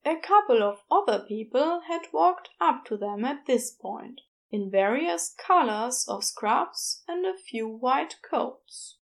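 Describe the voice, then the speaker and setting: A woman's voice: high voice, expressive, slow pace, gravelly, hardly any pauses. A woman reading scripted fiction as an audiobook narrator.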